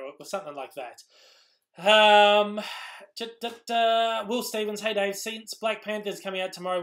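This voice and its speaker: A young Australian man hosting a casual live-chat Q&A, rambling with many ums, his voice going hoarse. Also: sits high, a bit bright. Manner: rambling, lots of ums and restarts. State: losing his voice. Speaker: YouTube host answering live chat.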